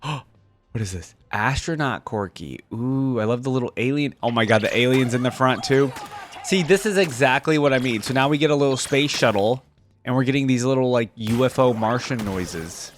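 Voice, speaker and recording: A man's voice: mid-range voice, normal speed. A man giving casual, enthusiastic reaction commentary as a gaming video host, full of exclamations and slangy phrasing.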